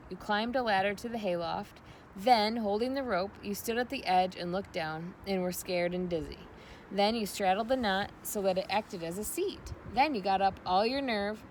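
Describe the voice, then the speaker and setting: A woman giving an expressive read-aloud narration of a children's novel. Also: medium pitch, slight background noise. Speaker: storybook reader.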